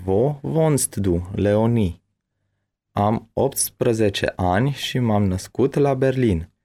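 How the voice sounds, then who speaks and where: A man reading his part of a bilingual language-lesson dialogue with careful, deliberate enunciation. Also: lowish voice, lively intonation, dark tone.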